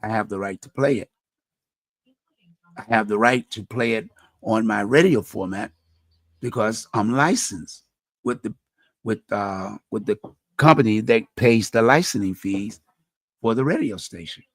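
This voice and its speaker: Man, deep gravelly voice, middle-aged, earnest radio host, dry sound. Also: measured pace, long pauses, no echo. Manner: earnest, churchy, repeats and restarts phrases. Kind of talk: reflective personal talk.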